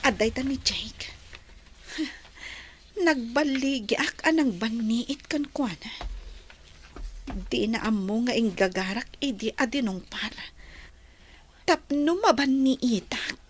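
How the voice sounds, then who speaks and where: A woman playing a softly wistful young migrant worker in an audio drama. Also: fairly high pitch, lively intonation, slow pace, long pauses, slight background noise.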